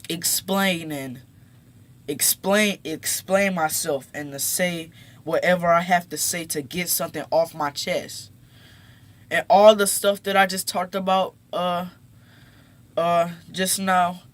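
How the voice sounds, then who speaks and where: Halting, slow-talking teenage boy. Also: fairly high pitch, fairly bright tone. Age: teens. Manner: halting, repeats words, gets emphatic. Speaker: YouTuber. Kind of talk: casual talk to camera. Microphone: phone.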